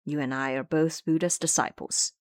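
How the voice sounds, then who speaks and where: A woman voicing a gentle, humble male monk master, courteous and measured, in an audio drama. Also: sits low, steady tone, dry recording, clean studio sound, close to an everyday voice.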